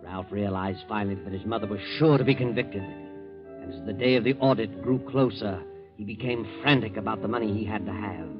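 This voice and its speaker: Man playing a low, sinister radio mystery narrator. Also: fairly quick, natural voice. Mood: ominous. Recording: dull old 1940s radio, music behind.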